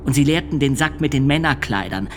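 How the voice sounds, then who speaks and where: A man's voice: medium pitch, expressive, natural voice. A man playing a grave church usher witness. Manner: measured, sombre telling, hushed at times. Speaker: witness testifying at a trial. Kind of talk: radio drama testimony. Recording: music under the voice.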